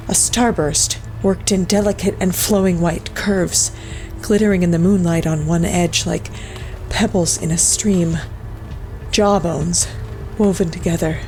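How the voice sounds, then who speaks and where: A woman with a low voice full of fry narrates a dark horror tale. Her delivery is solemn and measured, with soft music underneath. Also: steady tone, rough recording. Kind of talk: scripted narration of a dark folk-horror story.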